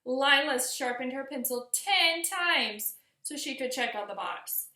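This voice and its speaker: A woman's voice: sits high, animated, a little slow, sharp tone. A woman reading a storybook aloud expressively for young children, in the manner of a teacher addressing listeners. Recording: roomy echo.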